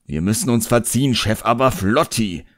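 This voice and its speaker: A low-pitched old imp servant, performed by a male audiobook reader, recorded close and dry. He is cheeky and put-upon, grumbling in slang and forever stalling. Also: lively intonation, normal speed, dry recording, lightly acted. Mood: urgent, cheeky.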